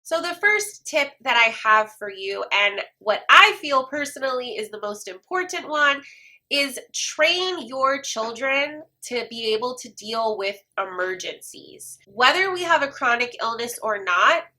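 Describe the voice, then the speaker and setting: Congested woman, bright voice. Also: on the high side, animated, measured pace. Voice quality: congested, stuffy-nosed. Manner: earnest, gentle, encouraging. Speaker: homeschooling mom vlogger. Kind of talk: personal talk to camera.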